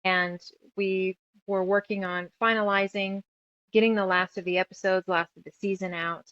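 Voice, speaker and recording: A woman's voice: mid-range voice, steady tone, moderate pace, fairly bright tone, no echo, highs cut off. A woman from the United States speaking as a creative guest in a casual interview, talkative and reflective as she rambles through her thoughts. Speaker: guest, author, musician and audio drama creator.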